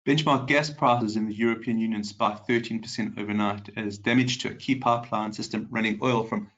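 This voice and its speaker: A man with a nasal, slightly congested voice hosting a live market webinar, chatting with viewers by name and filling pauses with ums. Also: on the low side, steady tone, fairly bright tone, dull audio. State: congested from a cold.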